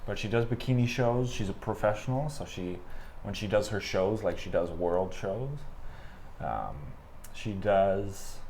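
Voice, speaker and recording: A young Canadian man in his twenties, talking in a relaxed, rambling way with many fillers as a guest on an interview podcast. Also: fairly low pitch, measured pace, a little hiss or hum. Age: 20s. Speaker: guest, amateur boxer. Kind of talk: casual interview conversation.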